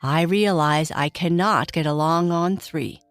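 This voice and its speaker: A woman voicing a boisterous, game male toy elephant in a children's storybook, excited and cheerfully challenging. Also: deep voice, measured pace, clean tone, no room sound. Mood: resigned, friendly.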